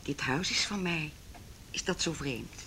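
A woman's voice: low-pitched, lively intonation, measured pace, bright, forceful tone, a little hiss or hum, heavily acted. An actress playing a cold, disapproving female house owner in a radio drama, curt and imperious. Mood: cold, reproachful.

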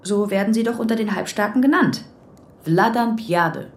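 A woman's voice: mid-range voice, moderate pace, reverberant, lightly acted. A woman playing a cheeky, persistent young police detective assistant in a crime radio drama. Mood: provocative, probing. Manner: provocative, pointed questioning.

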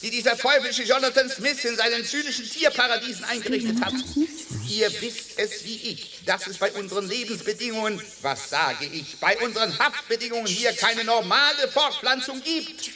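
Very high-pitched indignant captive animal. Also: male voice, normal speed, noisy background. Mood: bitter, outraged. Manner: impassioned, indignant, declamatory. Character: indignant captive animal, bitterly defending its kind. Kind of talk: radio play acting.

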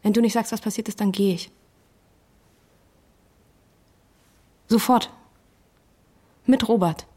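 An actress playing a hurt, angry woman confronting her partner in a radio drama, husky and accusing. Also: mid-range voice, normal speed, mellow, close to an everyday voice. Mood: threatening. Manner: agitated, accusing.

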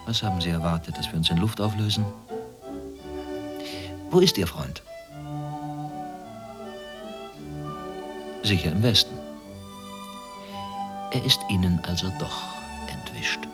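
An actor in a radio drama playing a former state security officer, controlled and insinuating, with quiet menace. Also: male voice, low-pitched, animated, moderate pace, long pauses, over music. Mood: quietly threatening. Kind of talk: German radio drama acting.

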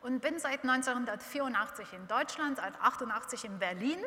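A woman from Romania, a native Romanian speaker, introduces herself in a brisk, direct way. Her voice is bright and somewhat high. It echoes strongly with a long hall reverb. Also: expressive. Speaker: panelist, entrepreneur.

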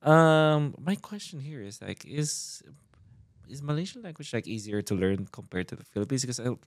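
A man's voice: medium pitch, sing-song, normal speed, dry recording, studio quality. A man hosting a casual podcast interview, reacting often and feeling out his questions in informal, hesitant phrasing. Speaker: podcast host.

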